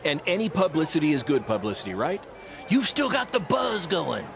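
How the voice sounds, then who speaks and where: A man acting as a breezy, excitable wrestling promoter and sidekick in a video game, with a joking, casual delivery. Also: on the high side, normal speed, vocal fry, background noise. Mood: upbeat. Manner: joking, animated. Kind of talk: video game cutscene dialogue, casual banter.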